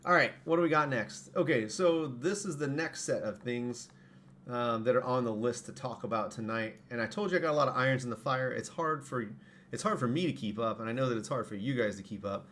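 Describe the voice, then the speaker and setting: A man with a hoarse voice, casually explaining his products on a live stream and correcting himself as he goes. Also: mid-range voice, fairly quick, fairly bright tone, slight background noise. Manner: rambling, self-correcting. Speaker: streamer and saber parts maker.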